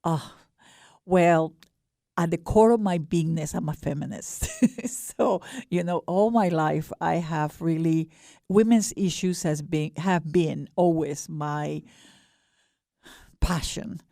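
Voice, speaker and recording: A mature woman with an Ecuadorian Spanish accent, speaking earnestly and haltingly as a guest in a radio interview. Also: deep voice, vocal fry, mellow, dry recording, studio quality. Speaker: guest, board director of a peace nonprofit.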